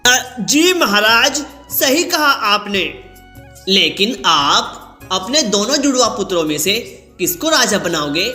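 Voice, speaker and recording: Cartoon royal minister, man acting: high, bright voice, hesitant, cautious, bowing to authority. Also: very animated, slow, reverberant, faint music behind, full character voice. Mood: hesitant, deferential. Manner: hesitant, obsequious, respectful. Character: deferential male royal minister, cautious and hinting.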